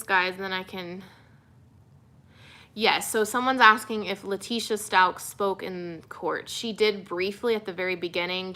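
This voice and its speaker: Young woman reporter chatting on a livestream, soothing, clear bright tone, lowish voice, lots of ums. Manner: relaxed, answers viewer questions with many fillers. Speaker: TV news reporter. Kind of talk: casual livestream Q&A.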